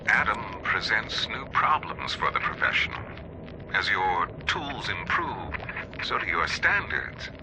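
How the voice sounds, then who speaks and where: A man's voice: deep voice, very animated, unhurried, background noise, heavily acted. An actor playing a vain, obsessed mad surgeon in a video game, brooding and savouring his words theatrically. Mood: smug, reflective. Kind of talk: dramatic video game monologue.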